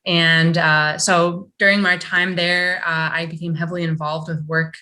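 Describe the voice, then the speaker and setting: A young woman from Michigan introducing herself at a public meeting over a video call, talking in a halting way with many fillers. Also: lowish voice, flat delivery, pushed and bright. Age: young adult. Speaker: new science fellow.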